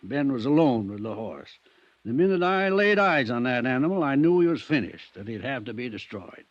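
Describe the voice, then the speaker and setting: An American actor playing an older country veterinarian in 1950s radio style, folksy, plain-spoken and stubbornly testy. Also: male voice, medium pitch, very animated, normal speed, no room sound, lightly acted. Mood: defensive, indignant. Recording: dull vintage radio recording.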